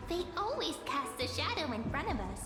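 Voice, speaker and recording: An actress voicing a gentle, curious, thoughtful girl companion in a video game, with a sweet manner. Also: high voice, big pitch swings, brisk. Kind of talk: scripted game voice acting. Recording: echoey game audio under loud music.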